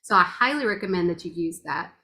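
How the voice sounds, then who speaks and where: A woman's voice: fairly low pitch, lively intonation, quick pace, pushed and bright. A middle-aged woman talking casually in a bubbly, gushing, chatty way. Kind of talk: casual chat.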